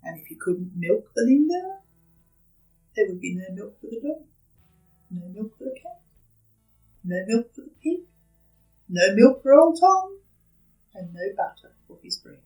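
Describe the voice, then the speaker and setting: A woman's voice: on the high side, big pitch swings, mellow, distant mic, faint music behind, rough recording. A woman reading a children's story aloud expressively, dramatically stressing and drawing out repeated words. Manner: dramatic, with emphatic repetition and drawn-out words.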